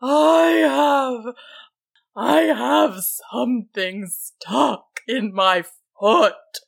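A woman voicing a gigantic troll in pain for a storybook, pleading in a loud, slow, bellowing wail. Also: a little high, vocal fry, voice pushed up, exaggerated voice. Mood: pained, distressed. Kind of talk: storybook character voice.

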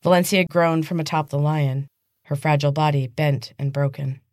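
A woman's voice: low-pitched, steady tone, normal speed, a little mellow, dry recording, studio quality. A woman reading fantasy fiction aloud as a dramatic, scripted audiobook narration. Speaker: podcast host and author reading own novel.

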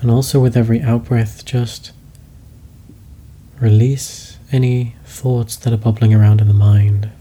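A man leading a guided meditation, speaking slowly and calmly in soft instructions. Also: medium pitch, a little hiss or hum. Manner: slow, calm, soft-spoken instructions.

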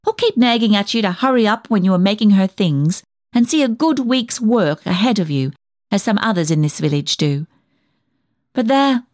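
An actress playing a snobbish, chatty village dressmaker and gossip in a comic monologue, fussy and full of insinuation. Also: female voice, medium pitch, darkish tone, no room sound, clean studio sound. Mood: snide, insinuating. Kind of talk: comic dramatic monologue reading.

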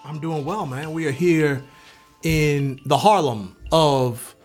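Man, fairly high voice, casual conversation, podcast co-host. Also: takes it slowly, a little mellow, faint music behind. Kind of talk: casual chat. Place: an office.

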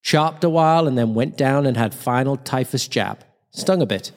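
A man's voice: mid-range voice, normal speed, close to an everyday voice. A man playing a wartime air force airman, reading a blunt, slangy diary in a terse, clipped manner. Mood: matter-of-fact. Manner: clipped, terse, matter-of-fact.

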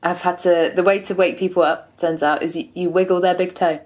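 A young woman co-hosting a podcast in casual chat, soft-spoken but quick to giggle. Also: on the low side, fairly even intonation. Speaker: podcast co-host.

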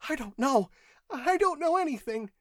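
A man voicing a cowardly, frightened clerk in an audiobook, shrill, pleading and stammering with nerves. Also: very animated, normal speed, no room sound, clean studio sound. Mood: nervous, evasive. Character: whimpering terrified clerk, a weak cowardly man.

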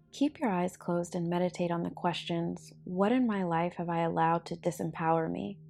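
A woman hosting a guided meditation, speaking in a calm, soothing, measured way. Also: lowish voice, gravelly. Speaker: podcast host and meditation guide.

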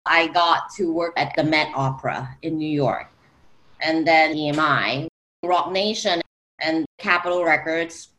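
A woman's voice: sits low, measured pace, fairly bright tone, poor audio. A woman from Singapore, a music executive, talking casually on a panel with many "like"s and "you know"s. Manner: chatty, filler-heavy with "like" and "you know".

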